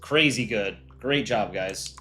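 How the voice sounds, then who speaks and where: A man's voice: fairly low pitch, takes it slowly, pushed and bright. Casual man reading chat aloud. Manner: reads viewer chat aloud and answers offhand. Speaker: streamer hosting an art contest.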